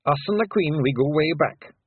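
A man voicing a small, angry, blue male fairy warrior with a Scottish accent, shouting defiantly. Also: on the high side, lively intonation, moderate pace, husky, no room sound, phone-quality sound, pitched above the performer's own voice.

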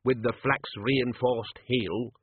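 Guy doing a grumbly fussy old wizard, slow, husky. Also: medium pitch, steady tone, dry recording. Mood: peevish. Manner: muttering, peevish. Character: fussy male academic wizard, muttering. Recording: tinny lo-fi audio.

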